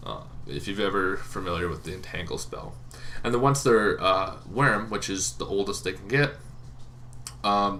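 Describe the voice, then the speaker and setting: Low-voiced man, casual explainer, noisy recording. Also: sharp tone. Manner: informal, halting, thinking aloud. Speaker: host of a tabletop roleplaying lore channel. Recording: noticeable background noise.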